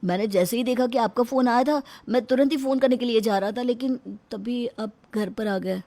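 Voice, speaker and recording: A woman's voice: on the high side, normal speed, mellow, close to an everyday voice. A woman voicing a timid young adult son, apologetic and defensive, in a family audio story. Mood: nervous, apologetic. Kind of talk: melodramatic audio story dialogue.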